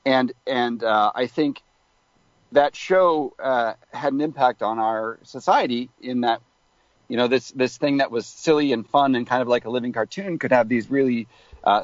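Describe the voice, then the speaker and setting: A male podcast guest talks things through in a thoughtful, hesitant way, pausing on fillers as he builds his point. His voice sits close and dry, and the sound is dull and lo-fi. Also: medium pitch, no echo. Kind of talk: reflective conversational answer.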